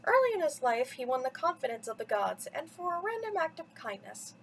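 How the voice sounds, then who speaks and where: Animated high-voiced woman reading a bedtime story. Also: brisk, gravelly, slight background noise. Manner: dramatic, theatrical emphasis with big swoops. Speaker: storyteller reading mythology to children. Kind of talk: expressive bedtime story read-aloud.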